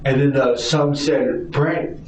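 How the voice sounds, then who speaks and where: A man's voice: mid-range voice, fairly even intonation, slow, darkish tone. A man answering questions in a police interrogation as a suspect, rambling and repeating himself with stammered restarts, agitated and defensive. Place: indoor police interview room. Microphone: distant room recording microphone. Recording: distant, echoey, muffled noisy interrogation recording.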